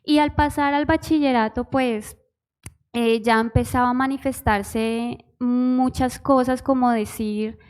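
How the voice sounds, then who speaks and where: A young woman from Bogotá gives her testimony in church, speaking with a local Bogotá accent. Her voice sits a little high, and she is shy and giggly, hesitating often with fillers as she talks. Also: fairly even intonation, measured pace, a little mellow, smooth. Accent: Bogotá Spanish. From Bogotá, Colombia. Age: 20s. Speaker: church musician, pastor's wife. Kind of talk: personal testimony.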